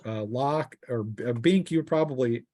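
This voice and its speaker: A man narrating a tabletop roleplaying game as game master, in a casual, halting way as he thinks aloud. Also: medium pitch, warm tone, slight background noise.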